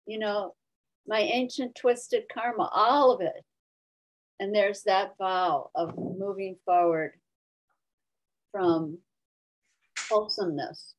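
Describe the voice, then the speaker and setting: A woman speaking as a Zen teacher in an informal discussion over a video call, reflectively and in short phrases with pauses. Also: medium pitch, steady tone, poor audio. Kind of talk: spontaneous dharma talk and discussion.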